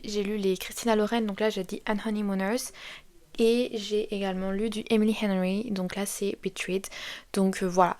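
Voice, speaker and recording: A woman's voice: mid-range voice, a little mellow. A young woman from France giving casual book advice to camera, chatty and rambling with lots of fillers and restarts. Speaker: book vlogger.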